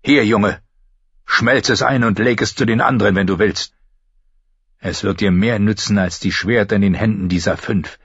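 A man's voice: fairly low pitch, fairly even intonation, moderate pace, a bit bright, husky, no room sound, lo-fi sound. A man voicing a proud, scornful old knight in an audiobook. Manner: contemptuous, stern.

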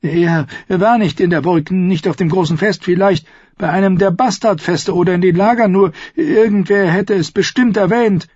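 A man's voice: moderate pace, a little mellow, husky, no echo, dull audio. A man voicing a terrified, pleading captive in an audiobook, stammering and whining in desperate self-excuse. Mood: nervous, confused. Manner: stammering, whining, shrill when panicked. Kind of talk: audiobook character voice.

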